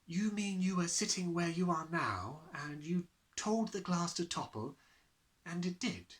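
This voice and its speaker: A gentle woman schoolteacher from a children's storybook, put on by a man. She speaks softly and patiently, a little puzzled, with a soothing care and a very mobile pitch. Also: lively intonation, measured pace. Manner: soft, careful, soothing.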